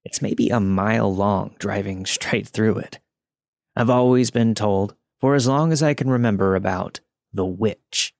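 A man's voice: lowish voice, gravelly, a little mellow, dry recording, studio quality. A man reading a scripted first-person spooky story as a podcast narrator. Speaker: podcast host reading listener stories.

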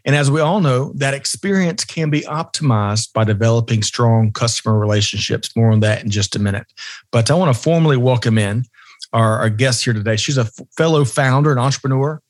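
A man's voice: medium pitch, a little fast, dry recording. Upbeat guy hosting a podcast, friendly, some uhs, dead dry mic. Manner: upbeat, friendly, uses fillers. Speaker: podcast host. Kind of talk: casual interview hosting.

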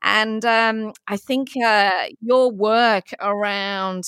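A woman's voice: mid-range voice, fairly even intonation, drawn-out words, smooth, no room sound. Effusive woman host, dry close sound. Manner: effusive, warm, emphatic. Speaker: podcast host. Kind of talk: enthusiastic interview hosting.

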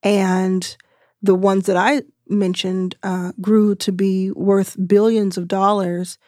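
Woman in her thirties, measured and explanatory. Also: mid-range voice, little pitch movement, darkish tone, dry recording, studio quality. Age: 30s. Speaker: venture capital investor. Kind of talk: conversational interview answers.

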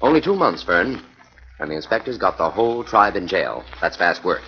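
A confident, deep-voiced detective hero. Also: male voice, big pitch swings, normal speed. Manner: confident, assured, gently teasing. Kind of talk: 1940s radio acting. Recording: muffled 1940s radio recording.

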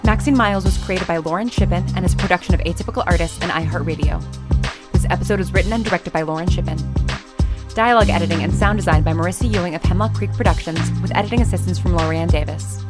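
A woman's voice: on the low side, fast. A woman announcer reading scripted podcast credits. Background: loud hip hop music.

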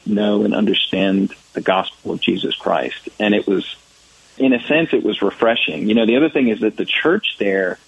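A man's voice: lowish voice, breathy edge, highs cut off. An American man in his thirties, a church ministry director answering interview questions thoughtfully, with many fillers and restarts. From United States.

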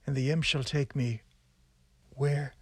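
A man voicing a chained, doomed madman in a gothic horror tale, with fevered, theatrical, drawn-out delivery. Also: medium pitch, steady tone, slightly rough, no echo, studio quality. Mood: bitter, resigned. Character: chained, doomed madman haunted by an inner imp.

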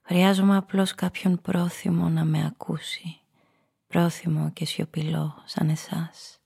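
An actress playing a cynical, seductive femme fatale, confessing her story in an intimate, breathy way in a noir radio play. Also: fairly low pitch, little pitch movement, a little mellow, no echo, clean studio sound, full character voice. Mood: bitter, confiding. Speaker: narrator and lead character.

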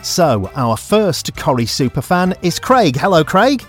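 An upbeat man hosting a quiz. His pitch moves around a great deal as he asks questions. Faint music plays underneath. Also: very animated, measured pace, husky. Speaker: quiz host.

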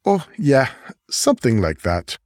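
A man's voice: mid-range voice, huge pitch swings, measured pace, a little mellow, dry recording, pitched above the performer's own voice. A mild male okapi from an animal storybook, as a man reads him. His manner is casual, hesitant and evasive. The sound is close and dry.

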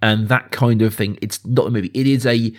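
A man's voice: on the low side, steady tone, fairly quick. A man hosting a podcast in a casual, chatty way, discussing film informally with asides to the listeners. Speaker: podcast host.

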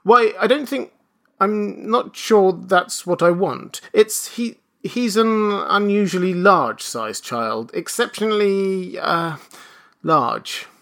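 A man's voice: mid-range voice, sing-song, slow, pushed and bright, breathy edge, heavily acted. A man voicing a horrified, anxious new father in a storybook reading, nervous, hesitant and desperate.

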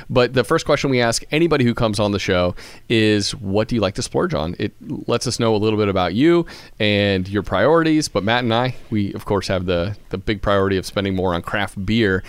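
Male co-host, quick talker, slightly low pitch, casual interviewing style. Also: clean tone, no echo. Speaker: podcast co-host.

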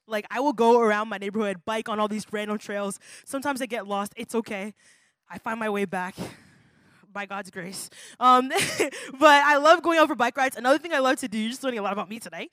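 High-pitched hype youth pastor woman. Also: a bit bright, dry recording, studio quality. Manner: hype, playful, slangy, laughs often. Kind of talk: energetic youth workshop talk. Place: conference room with youth audience.

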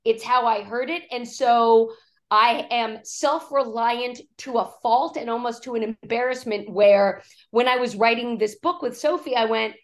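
A woman executive coach, hoarse from a cough, talking in a podcast interview and acting out quoted speech as she tells stories. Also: fairly high pitch, measured pace. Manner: animated storytelling, acts out quoted speech.

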